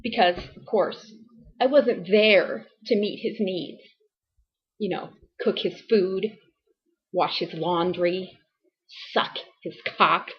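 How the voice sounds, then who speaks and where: A woman acting a distraught, abused wife and mother making a halting, emotionally strained confession in a dramatic monologue. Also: on the low side, lo-fi sound. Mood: wounded, bitter.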